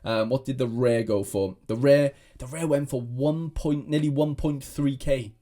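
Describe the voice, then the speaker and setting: A man's voice: mid-range voice, a little slow, mellow. Rambling young man. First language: Welsh. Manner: rambling, many restarts and fillers. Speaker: fantasy football content creator. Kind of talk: casual chat to camera.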